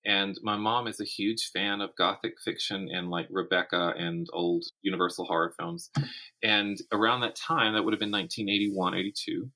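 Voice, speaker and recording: An American man in his fifties answering interview questions conversationally, thinking aloud in a candid, animated way. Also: low-pitched, moderate pace, low-quality recording. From South Carolina, United States. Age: 50s. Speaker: interview guest, horror writer and teacher.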